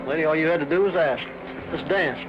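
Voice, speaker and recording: Man acting a cocky, high-pitched western gunslinger. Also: fast, background noise, natural voice. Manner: drawling, boastful, slangy. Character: cocky, swaggering gunslinger, brash ladies' man. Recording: dull old soundtrack, music behind.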